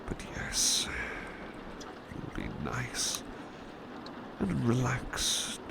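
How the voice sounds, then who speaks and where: A man playing a devoted, gentle butler in a soothing ASMR roleplay, hesitant and polite, fussing and repeating himself reassuringly. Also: medium pitch, wildly swooping pitch, very slow, creaky, very noisy, full character voice. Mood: soothing, tender.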